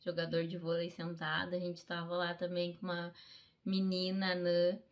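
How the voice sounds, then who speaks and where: A woman's voice: lowish voice, monotone, moderate pace. Middle-aged woman telling her story. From Brazil. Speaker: Paralympic fencer, interviewee. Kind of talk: personal storytelling in a documentary interview.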